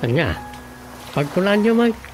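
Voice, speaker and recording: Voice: mid-range voice, big pitch swings, unhurried. An actor playing an inquisitive, puzzled villager in a radio drama comedy, speaking in questioning exclamations. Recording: radio drama with music underneath. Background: clear music.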